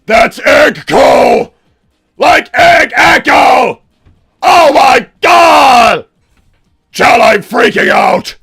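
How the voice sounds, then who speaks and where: A man playing a flamboyant, excitable mad-scientist villain hosting a livestream, theatrical, exasperated and rambling, with a raspy voice. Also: very high-pitched, very slow, no room sound, raised voice, clean studio sound, faint music behind, a faint crowd, full character voice. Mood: frantic. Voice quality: gravelly, raspy. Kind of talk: improvised in-character livestream hosting.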